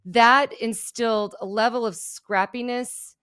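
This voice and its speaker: Smooth-voiced energetic woman from Germany. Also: fairly high pitch, a little slow. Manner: energetic, candid storytelling. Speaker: guest, keynote speaker and entrepreneur. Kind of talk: podcast interview.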